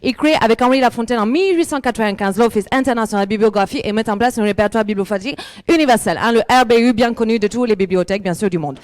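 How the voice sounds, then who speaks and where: A woman's voice: on the high side, fast talker, lightly acted. A woman playing a rambling, self-important female book observer. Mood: lecturing. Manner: hesitant, full of fillers and restarts. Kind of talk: live comic sketch. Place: large hall at a library event. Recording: echoey hall recording.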